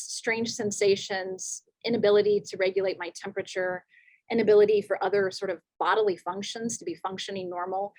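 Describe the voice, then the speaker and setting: A woman's voice: mid-range voice, dry recording, rough recording. A woman faculty member speaking on a panel, recounting her experience steadily and listing items one by one. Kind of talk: panel discussion, personal account.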